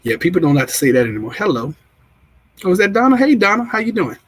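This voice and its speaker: A man's voice: sits high, big pitch swings, quick pace, husky. A man hosting a casual livestream, reading out chat comments and rambling with frequent restarts. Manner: rambling, repeats himself, restarts often. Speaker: livestream host.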